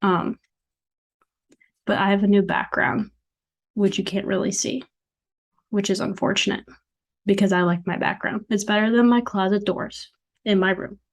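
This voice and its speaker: Hoarse young woman chatting casually. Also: mid-range voice, level tone, moderate pace, long pauses. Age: young adult. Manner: chatty, self-deprecating, joking asides. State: hoarse, losing her voice. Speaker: podcast host and founder. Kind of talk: casual solo podcast chat. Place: dorm room. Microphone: desk mic at a computer.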